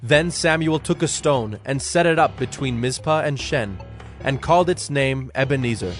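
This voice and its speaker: Male scripture narrator over soft music. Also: medium pitch, moderate pace.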